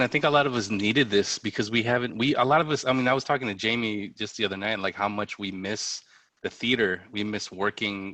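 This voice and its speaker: A man with a low but bright, forward voice talks casually as a director on a panel. He is effusive and repeats his opening words, and the sound is close and dry. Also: no echo. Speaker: theatre director. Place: remote video call.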